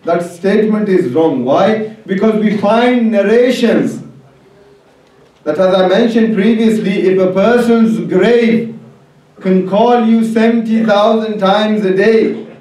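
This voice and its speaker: A man's voice: sits high, slow pace, a little mellow, distant mic. A man preaching an Islamic sermon to a gathering, urging listeners on with rhetorical questions. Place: religious hall, public address. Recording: very echoey hall, off the mic.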